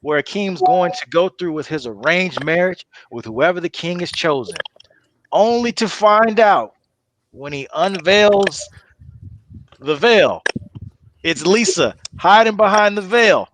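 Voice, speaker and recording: Higher-pitched man narrating a script. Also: animated, unhurried, a bit bright, a little hiss or hum, poor audio. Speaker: narrator of a table read. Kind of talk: scripted narration with loose improvised asides.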